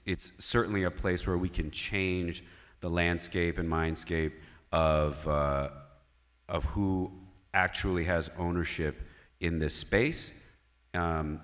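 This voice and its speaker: A man with a low voice leads a panel discussion at a conference. He talks in a measured way and keeps asking the audience and panelists questions. His voice rings out with the long reverb of a large hall. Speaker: panel moderator.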